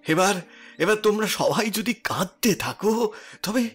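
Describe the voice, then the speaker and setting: A man acting as a tender middle-aged father in an audio drama, his husky voice a little high. He pleads haltingly, repeating himself as he holds back tears. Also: animated, fairly bright tone, faint music behind, heavily acted. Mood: soothing, upset.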